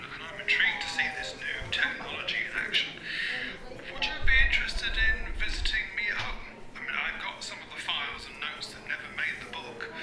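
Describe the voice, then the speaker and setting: A man's voice: a little high, close to an everyday voice. A man playing an elderly, reclusive author in an audio drama, hesitant and rambling but polite and hospitable. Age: older. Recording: roomy, noisy, off-mic sound.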